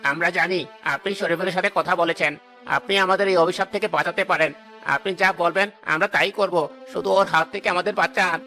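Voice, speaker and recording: Man playing a sharp-voiced, guilt-ridden villain. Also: sits high, steady tone, a little fast, soft background music. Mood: desperate, pleading. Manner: desperate, pleading. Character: guilt-ridden, fearful male villain, haunted murderer. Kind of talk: cartoon voice acting.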